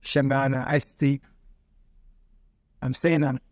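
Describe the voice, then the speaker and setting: A man's voice: mid-range voice, little pitch movement, a little mellow, no room sound. Earnest man, dry close sound. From Mozambique. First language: Ndau. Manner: halting, earnest, pauses to search for words. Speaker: guest, farmer and peace builder. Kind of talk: casual interview talk.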